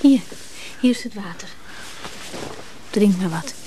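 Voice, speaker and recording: A woman's voice: medium pitch, animated, slow, dark tone, a little hiss or hum. An actress playing an affectionate, evasive married woman in a radio drama. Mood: soothing. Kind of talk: intimate radio drama acting.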